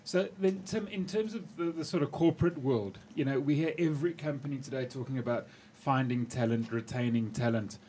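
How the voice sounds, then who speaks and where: A man co-hosting a talk show, asking a hesitant, halting question. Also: mid-range voice, mellow, a little hiss or hum. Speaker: co-host.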